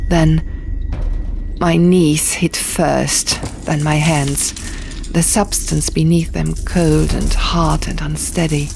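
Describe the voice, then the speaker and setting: A woman reading a fantasy audiobook dramatically in the first person, in hushed, deliberate short phrases, over music and sound effects. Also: low-pitched, gravelly. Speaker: audiobook narrator.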